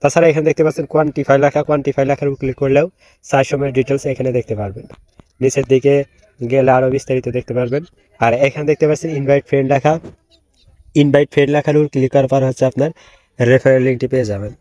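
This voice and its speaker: Male voice-over, fast and monotone, mellow tone, dry close sound; tutorial walkthrough. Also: medium pitch, no room sound. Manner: rapid step-by-step instructions with fillers. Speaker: online earning tutorial creator. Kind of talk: screen-recorded app walkthrough.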